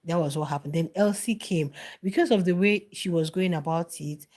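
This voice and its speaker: Chatty woman with a low voice commenting on a reality show. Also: moderate pace, darkish tone. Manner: chatty, answers viewer comments, repeats phrases for emphasis. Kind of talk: casual live-stream commentary.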